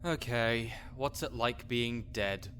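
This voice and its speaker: A man's voice: medium pitch, slow, fairly bright tone, slight background noise. A man playing a grouchy, scholarly necromancer in an audio roleplay, condescending, pedantic and sarcastic. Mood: dry impatience. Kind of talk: audio roleplay acting addressed to the listener.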